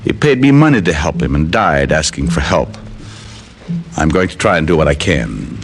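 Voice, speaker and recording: A man's voice: rumbling low, sing-song, normal speed, exaggerated voice. An actor playing a wisecracking male private eye who both speaks in scenes and narrates in first person with dry, wry quips, in a 1940s-style radio drama. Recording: old radio drama under loud music.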